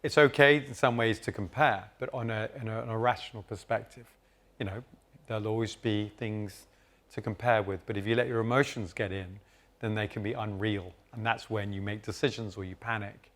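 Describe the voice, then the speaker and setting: A man hosting and moderating a live conference session, giving calm, explanatory advice from the stage. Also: fairly low pitch, fairly quick. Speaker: host and moderator.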